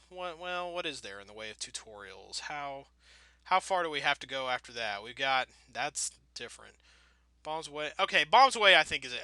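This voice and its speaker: A man's voice: fairly high pitch, sharp tone, dry recording. A man giving casual, rambling commentary as he plays a game, playful and full of folksy mild exclamations. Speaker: gameplay video host.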